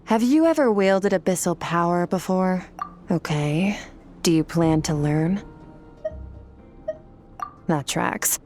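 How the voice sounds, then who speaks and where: Low-voiced, aloof warrior woman. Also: slow pace, pauses often, darkish tone. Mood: calm, explanatory. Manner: cool, detached, matter-of-fact. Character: cold, aloof young woman warrior, blunt and businesslike mentor. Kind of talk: scripted video game voice acting. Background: game music.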